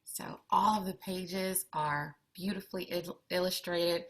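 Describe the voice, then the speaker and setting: A woman reading a children's picture book aloud with deliberate, expressive phrasing. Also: on the low side. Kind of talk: read-aloud storytelling for children.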